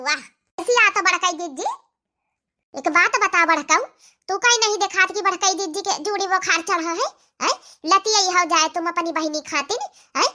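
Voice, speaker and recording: A woman's voice: very high-pitched, sharp tone, heavily acted. A woman voicing a fiery, combative young village sister-in-law in an Awadhi comedy cartoon, scolding in an Awadhi accent. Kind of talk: Hindi-belt comedy cartoon dialogue.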